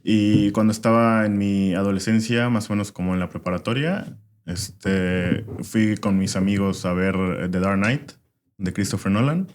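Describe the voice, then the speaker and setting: A young man born in Venezuela talks in a very low voice, relaxed and unhurried. He fills his pauses with "este" as he tells his story in a casual interview. A faint hiss sits under the recording. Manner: relaxed, frequent "este" fillers. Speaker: podcast guest, former filmmaker.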